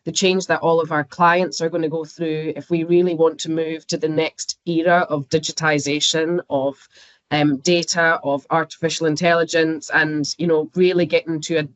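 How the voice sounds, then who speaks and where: A woman's voice: deep voice, little pitch movement, moderate pace, no room sound. Scottish woman, dry close podcast sound. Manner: chatty, laughs often, uses fillers. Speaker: banking expert guest. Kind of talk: conversational podcast interview.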